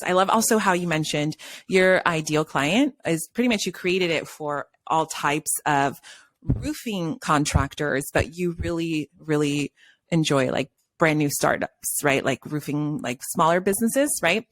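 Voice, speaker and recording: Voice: medium pitch, moderate pace, a bit bright, no room sound. A podcast host from Brazil with a light Brazilian accent, chatting upbeat and gushing with praise in a casual interview.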